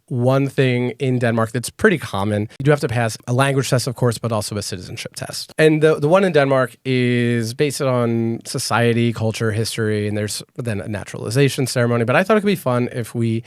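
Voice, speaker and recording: A man hosting a podcast, explaining clearly in a measured, scripted way and interviewing a guest. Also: medium pitch, dry recording, studio quality. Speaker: podcast host.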